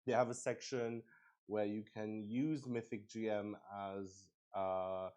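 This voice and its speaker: A man hosting a solo tabletop roleplay livestream, explaining casually in a halting, rambling way as he thinks aloud to his viewers. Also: lowish voice, fairly even intonation, darkish tone.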